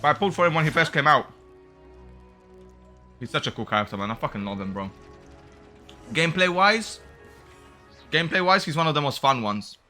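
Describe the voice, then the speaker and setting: A man with a bright, forward voice streams a video game with music playing behind him. He talks in a casual, slangy way, excitable and chatty as he comments on the playthrough. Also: on the high side, fairly quick, pauses often. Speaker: gaming streamer.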